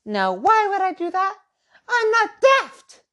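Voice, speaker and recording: A woman's voice: extremely high pitch, very animated, slow pace, sharp tone, heavily acted. A woman playing a cranky old sister. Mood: defiant. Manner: snappish, bossy, name-calling. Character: grumpy eighty-something sister, rude and impatient. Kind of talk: amateur radio drama acting.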